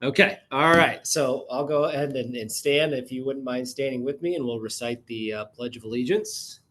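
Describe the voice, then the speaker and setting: A man's voice: mid-range voice, moderate pace, a bit bright. Man running a club meeting. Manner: hesitant, many fillers. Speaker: club president. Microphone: video call.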